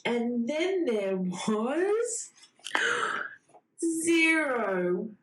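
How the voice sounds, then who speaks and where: A woman reading a bedtime storybook to young children in a sing-song way, with dramatic pauses before key words. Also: mid-range voice. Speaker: children's read-aloud host. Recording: roomy echo.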